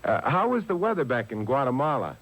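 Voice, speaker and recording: An actor playing a suave, unflappable amateur sleuth with a dry, urbane manner in a 1950s radio mystery. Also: male voice, medium pitch, sing-song, quick pace, fairly bright tone. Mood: dry, polite. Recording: noisy old radio broadcast taped on cassette.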